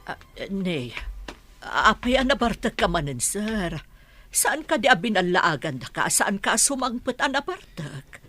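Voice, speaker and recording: A woman's voice: medium pitch, big pitch swings, a little slow, bright, forceful tone, soft background music, full character voice. A woman playing a weary, middle-aged working mother in an Ilocano comedy radio drama, patient and plaintive, with an Ilocano Filipino accent. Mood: pleading, reproachful. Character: weary working mother, housemaid with idle grown children.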